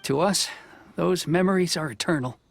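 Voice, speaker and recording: An actor playing a modest, earnest middle-aged boatbuilder who speaks politely and reassuringly. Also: male voice, fairly high pitch, normal speed, creaky, very noisy. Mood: wistful.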